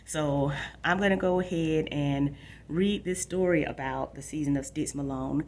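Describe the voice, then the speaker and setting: Female book-show host, low register, direct explanatory delivery for young readers, slightly noisy recording. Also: normal speed, darkish tone. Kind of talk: informal book talk and read-aloud for children.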